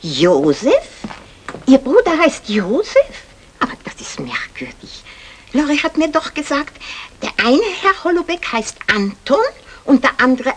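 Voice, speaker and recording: A woman's voice: sits high, sing-song, unhurried. An actress playing a chatty, flustered, lonely neighbour woman, over-polite and hesitant, in a German radio comedy. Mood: curious, coy. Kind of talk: German radio crime comedy acting, 1960s. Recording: noisy old 1960 radio recording.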